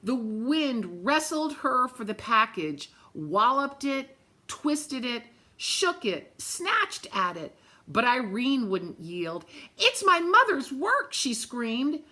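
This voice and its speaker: A woman reads a children's picture book aloud. Her voice is fairly high and bright, and she goes slowly with deliberate, dramatic phrasing, speaking to young listeners. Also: very animated. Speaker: storybook reader.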